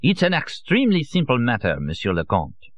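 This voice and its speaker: A man voicing a shrill, almost hysterical occultist with a thick French accent. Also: a little fast, dry recording. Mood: indignant. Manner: excitable, indignant, sprinkles French words.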